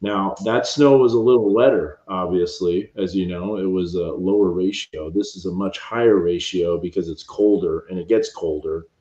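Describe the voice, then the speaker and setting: Hushed low-voiced man at home. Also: moderate pace, few pauses. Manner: quiet, hushed, rambling forecast talk. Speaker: meteorologist host. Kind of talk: late-night weather livestream. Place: upstairs room at home at midnight. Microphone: cheap home mic. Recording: roomy, dull home mic.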